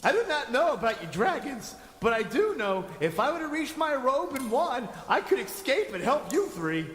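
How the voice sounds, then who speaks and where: A man's voice: very high voice, sing-song, moderate pace, fairly bright tone, heavily acted. A man playing a crazy, skinny captive wizard in a comedic audio drama, theatrical and teasing. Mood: conspiratorial, eccentric. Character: crazy skinny bearded captive wizard, eccentric last tribesman. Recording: very echoey, long stone-cell reverb.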